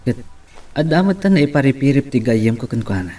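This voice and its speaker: A man's voice: mid-range voice, sing-song, a little fast, dark tone. A man with an Ilocano accent playing an eager young suitor in an audio drama, recorded at a distance. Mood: musing. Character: eager lovestruck young man courting a woman.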